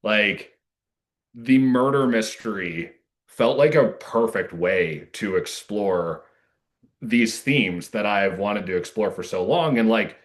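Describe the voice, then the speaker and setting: A man with a fairly low voice gives a casual, reflective interview as a writer. He talks in an earnest, discursive way, circling through asides as he explains himself. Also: animated, slow pace. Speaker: playwright being interviewed.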